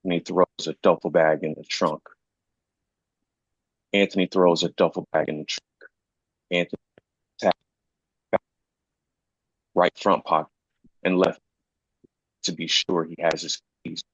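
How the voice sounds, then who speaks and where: A man's voice: sits low, little pitch movement, measured pace, long pauses, breathy edge. A man reading stage directions as scripted narration at a live screenplay table read, recorded muffled in an echoey room.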